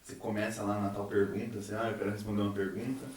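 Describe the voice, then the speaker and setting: A man's voice: fairly low pitch, level tone, rapid-fire. A young Brazilian man chatting casually on a podcast, giving his opinions in an informal way. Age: young adult. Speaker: university student in a science theatre group. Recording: very echoey room, distant from microphone.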